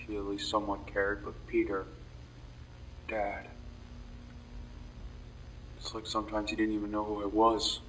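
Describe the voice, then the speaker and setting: Casting: male actor as brooding, remorseful young man for horror audio drama; low, flat, halting delivery, captured off-mic with faint noise. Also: recorded from a distance, poor audio. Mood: bitter, regretful. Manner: somber, halting, confessional with heavy pauses. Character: guilt-ridden, brooding young man confessing dark memories. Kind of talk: scripted audio-log monologue, horror fan drama.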